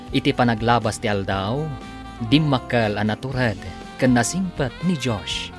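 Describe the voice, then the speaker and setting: Male announcer, Filipino Ilocano accent, dramatic serial narration, animated, music bed underneath. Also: mid-range voice. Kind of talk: dramatic scripted radio narration.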